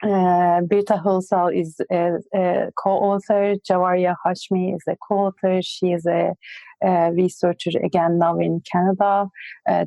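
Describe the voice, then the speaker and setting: A woman's voice: lowish voice, creaky, warm tone, dry recording, rough recording. A woman from Turkey with a Turkish accent, a research guest answering interview questions hesitantly with frequent fillers.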